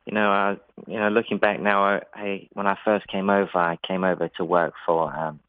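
Low-voiced young Englishman on a phone line. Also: little pitch movement, fairly quick, smooth, dry recording. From England. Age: 20s. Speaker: jockey, interview guest. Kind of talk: casual interview over the phone. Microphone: telephone. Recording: muffled, narrow phone line.

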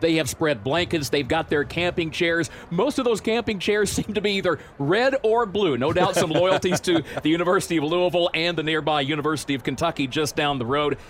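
A man commentating on a horse race broadcast, reading out odds and storylines in a steady informative way. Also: a little high, fairly quick, few pauses, a little hiss or hum. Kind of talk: live sports broadcast commentary.

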